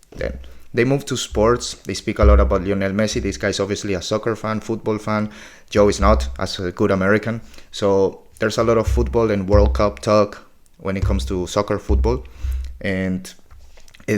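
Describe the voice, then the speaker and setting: A man's voice: lowish voice, darkish tone. Blunt, foul-mouthed man in his forties. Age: 40s. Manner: blunt, opinionated, swears often. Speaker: podcast recap host. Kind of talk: casual spoken recap.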